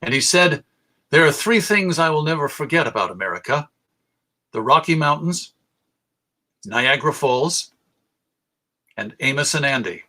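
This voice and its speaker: A man presenting a history lecture in a measured, deliberate way, pausing for emphasis. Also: fairly high pitch, expressive, sharp tone.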